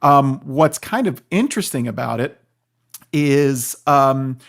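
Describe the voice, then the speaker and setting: A man, a professor appearing as a podcast guest, explaining informally and point by point in a halting way. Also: medium pitch, expressive, gravelly.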